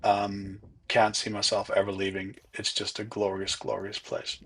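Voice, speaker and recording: A man narrating his own golf round in a relaxed, chatty, self-deprecating way. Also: lowish voice, normal speed. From not from Ireland. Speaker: golf vlogger. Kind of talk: casual voice-over commentary.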